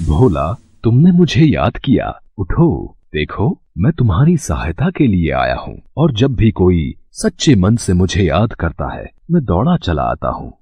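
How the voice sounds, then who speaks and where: A man's voice: low voice, wildly swooping pitch, unhurried, few pauses, dark tone, breathy edge, heavily acted. A man voicing a mighty, benevolent monkey god in a devotional cartoon story, speaking in a solemn, stately way. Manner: solemn, stately, formal blessing-giver.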